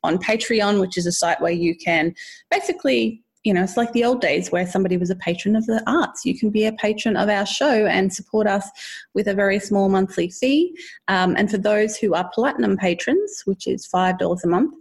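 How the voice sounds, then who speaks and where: Gravelly, husky woman host. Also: mid-range voice, fairly quick. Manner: chatty, laughs often. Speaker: podcast host. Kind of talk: casual podcast chat.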